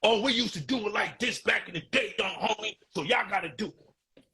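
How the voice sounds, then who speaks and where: Husky-voiced man in his forties. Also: high voice, a little fast. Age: 40s. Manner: animated, emphatic, street slang, repeats phrases. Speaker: guest. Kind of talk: casual podcast conversation.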